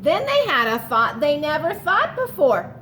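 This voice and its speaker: Slow, high-voiced woman reading to children. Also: a little hiss or hum. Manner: expressive, stretches key words, explains asides to young listeners. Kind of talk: picture-book read-aloud for children.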